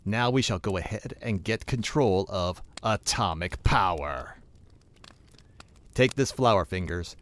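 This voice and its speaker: Low-voiced scheming crime boss played by a man. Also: slight background noise. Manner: gloating, menacing. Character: scheming, commanding male crime boss villain. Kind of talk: pulp superhero audio drama acting.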